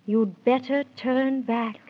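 A benevolent fairy queen chants in slow, stately rhyme. Her voice is slightly high and breathy. It is 1930s radio acting on a dull, muffled recording. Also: fairly even intonation. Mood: solemn warning. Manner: stately, incantatory rhymed delivery.

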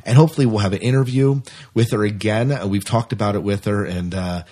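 Guy hosting a podcast, kinda low husky voice, chatty and casual. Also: fast, mellow. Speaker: podcast host.

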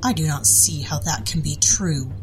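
A woman's voice: low voice, moderate pace, lightly acted, low-quality recording. A woman voicing an indignant, haughty German woman who speaks curtly and makes demands. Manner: curt, demanding. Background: music.

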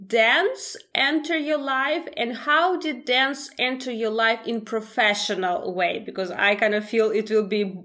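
Woman, podcast host, slightly high voice, hesitant, lots of ums. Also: bright, forceful tone. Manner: slow, hesitant questions with fillers. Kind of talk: podcast interview and ad read.

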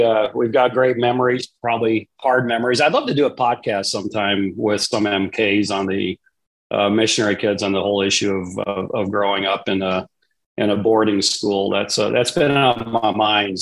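A man in his sixties, from Papua, hosting a podcast in a relaxed, rambling way with many pauses and restarts. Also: lowish voice, low-quality recording. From Papua, Indonesia. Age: 60s. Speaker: podcast host. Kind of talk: casual interview chat.

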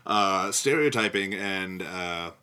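A man chatting casually about a TV show as a podcast panelist, telling stories in an animated way. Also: sits low, slow pace, smooth.

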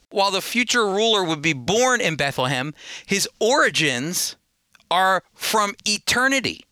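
A man's voice: fairly high pitch, pushed and bright, no room sound. A man teaching the Bible in an emphatic sermon style, repeating phrases for stress as he explains and reads scripture. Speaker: Bible teacher and show host.

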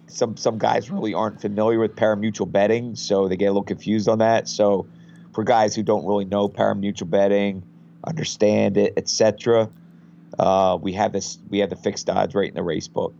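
A man from a betting site's marketing side, guesting on a podcast, hoarse from a head cold and talking in a relaxed, rambling way. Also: lowish voice, fairly quick, muffled recording, slight background noise. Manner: relaxed, rambling, many fillers. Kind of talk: casual podcast interview.

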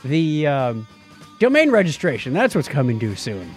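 A man's voice: a little high, huge pitch swings, normal speed, faint music behind. A man co-hosting a podcast in a playful, informal way, moving between casual chat and read-out items. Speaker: podcast co-host.

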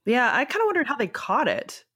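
A man with a high-pitched voice chats on a podcast. His style is informal and unscripted, like talk among friends. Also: wildly swooping pitch, a little fast, sharp tone, breathy edge, dry recording, studio quality. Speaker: podcast panelist. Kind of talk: casual chat.

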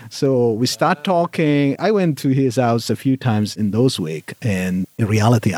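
A man from Italy with an Italian accent, a guest on a podcast telling his story in lively, slightly halting English. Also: medium pitch, no echo. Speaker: guest, safety director. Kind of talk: casual interview chat.

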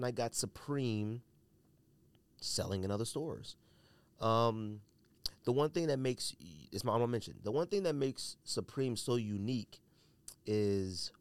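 A man speaks in a mid-range voice, recorded close and dry. He delivers a casual solo podcast monologue, reasoning out loud, circling back and softening his opinions as he goes. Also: medium pitch, smooth, no echo. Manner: hedging, self-qualifying, thinking aloud. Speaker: sneaker podcast host.

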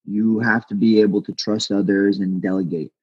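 A man whose first language is Aramaic, speaking as a fighter guest in a casual interview, humble and halting with many restarts. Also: fairly low pitch, steady tone, breathy edge, dull audio.

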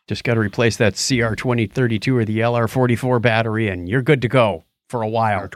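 A man's voice: lowish voice, fairly even intonation, brisk, no echo, clean studio sound. A man co-hosting a podcast, chatting casually and reading out items in a jokey, bantering way. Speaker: podcast co-host. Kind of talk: casual chat with listener feedback and trivia.

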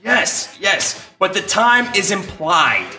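A melodramatic radio serial announcer, put on by a man, playful and very bright. The pitch is high and swoops around, on a live stage. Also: sing-song, slow, heavily acted. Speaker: narrator. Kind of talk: old-time radio announcing. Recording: roomy live stage, faint music.

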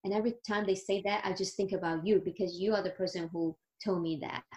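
A woman's voice: mid-range voice, steady tone, fast talker, a little mellow, reverberant, low-quality recording. A woman in her thirties from China with a Chinese accent, speaking as an interview guest over a video call, earnest and grateful, with small grammar slips. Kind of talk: interview, telling her life story.

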